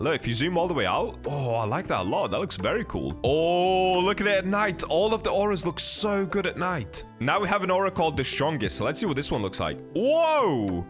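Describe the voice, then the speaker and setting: Excitable man with very animated, lively pitch. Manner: hyped, exclamatory, drawn-out emphasis. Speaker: gaming video creator. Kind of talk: excited gaming commentary, talking to viewers.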